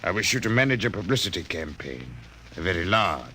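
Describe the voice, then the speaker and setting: A polite, self-possessed Martian with a low, bright voice. His diction is formal and deliberate, in old radio drama style. The recording is old and a little noisy. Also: animated. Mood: courteous, businesslike. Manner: formal, precise, measured diction.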